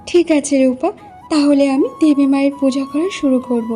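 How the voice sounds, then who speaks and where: A woman's voice: sits high, steady tone, moderate pace, warm tone, faint music behind, natural voice. A woman playing a meek, sorrowful village wife in a cartoon, speaking in a plaintive, earnest way. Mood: grateful. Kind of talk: Bengali moral cartoon voice acting.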